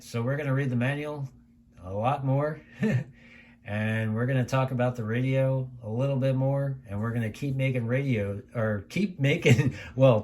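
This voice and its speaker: An American man giving a casual, unscripted product review in a conversational, rambling way, often repeating himself. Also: medium pitch. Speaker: radio reviewer. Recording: clear steady background noise.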